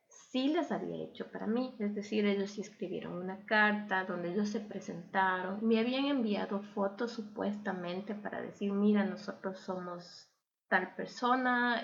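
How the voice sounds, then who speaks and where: A young adult woman with an Ecuadorian accent speaks conversationally as a guest sharing her story. Her voice sits at a medium pitch with heavy creak, and the recording is a little dull and lo-fi. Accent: Ecuadorian Spanish. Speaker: interview guest. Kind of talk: conversational personal storytelling and advice.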